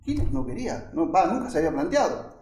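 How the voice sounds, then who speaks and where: A man's voice: on the high side, big pitch swings, fast talker, a little mellow, soft background music. A man giving an informal lecture in conversational, fragmented phrases, often checking in with listeners. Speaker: architect giving a talk. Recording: echoey room.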